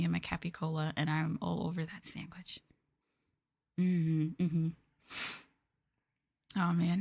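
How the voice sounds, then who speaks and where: Soft-spoken American woman, lowish voice. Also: creaky. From United States. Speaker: streamer chatting with live viewers. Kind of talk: casual ASMR chat stream.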